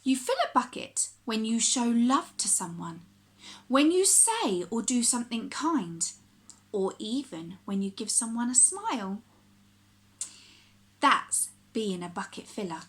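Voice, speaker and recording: Slow, animated woman reading to children. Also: a little high. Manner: expressive, deliberate phrasing for young children. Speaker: primary school teacher reading aloud. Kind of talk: children's picture book read-aloud.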